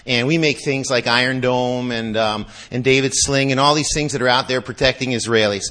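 A man's voice: medium pitch, brisk, pushed and bright. A man speaking as a guest lecturer to a live audience, chatty and joking, restless in his delivery.

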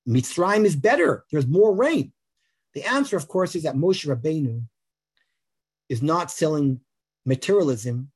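A man's voice: a little high, big pitch swings, measured pace, pauses often, slightly rough. A man, giving an informal, anecdotal Torah class. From Israel. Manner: conversational, anecdotal. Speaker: Torah teacher.

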